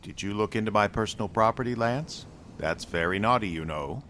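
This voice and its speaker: A man acting a kindly old Santa in a radio play. He speaks in a fairly low voice with gentle, courtly, old-fashioned phrasing and a touch of fond scolding. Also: a little fast, slight background noise, close to an everyday voice. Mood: gently chiding.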